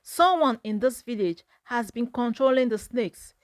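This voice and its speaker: A woman's voice: on the high side, animated, unhurried, dry recording, studio quality. A woman voicing a stern, commanding male village chief in a storybook folktale.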